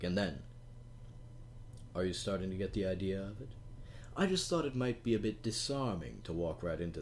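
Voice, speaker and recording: A man's voice: sits low, a little mellow, slight background noise. A man narrating a storybook, slipping into playful, teasing asides to the listener. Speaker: audiobook narrator. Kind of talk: storybook reading.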